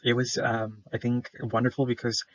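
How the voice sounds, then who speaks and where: A man's voice: mid-range voice, monotone, normal speed. Hesitant young man from El Salvador. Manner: hesitant, many fillers, shy. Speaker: student presenter. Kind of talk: class presentation. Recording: dull lo-fi dry sound.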